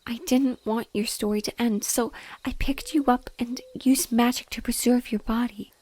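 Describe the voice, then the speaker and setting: Woman acting as a sorrowful female banshee, breathy whisper, higher-pitched, tender and devoted, intimate audio drama. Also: fairly even intonation, a little mellow, close to an everyday voice. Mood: mournful. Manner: slow, tender, mournful.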